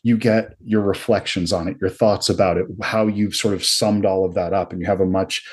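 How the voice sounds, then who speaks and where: Husky, low-voiced American man. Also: fairly even intonation, fairly quick. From Georgia and New Jersey, United States. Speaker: podcast host. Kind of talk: casual reflective podcast conversation.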